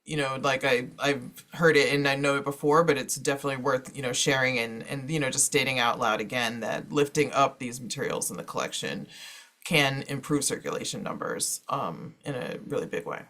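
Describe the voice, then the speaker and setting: A man's voice: mid-range voice, brisk, sharp tone. A man moderating an informal panel presentation, talking in a discursive way peppered with "you know".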